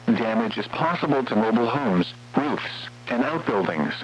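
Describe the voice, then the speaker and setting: A synthetic male voice reading scripted weather warning bulletins in a formal, flat manner as an automated radio announcer. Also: mid-range voice, moderate pace, slightly rough. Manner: formal, flat synthetic text-to-speech delivery. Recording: muffled, noisy weather radio with hum.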